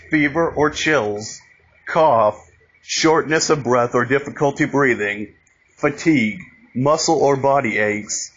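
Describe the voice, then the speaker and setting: A man reading a scripted emergency broadcast announcement, haltingly, repeating and restarting phrases, on a lo-fi recording. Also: medium pitch, a bit bright. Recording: dull lo-fi audio, noticeable background noise.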